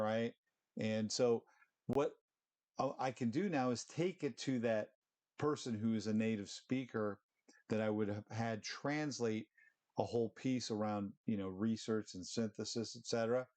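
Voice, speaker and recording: Casual male presenter, middle-aged, slightly low voice, chatty walkthrough of a screen demo. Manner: relaxed, conversational, fillers like "you know" and "um". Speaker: presenter of short tech tips.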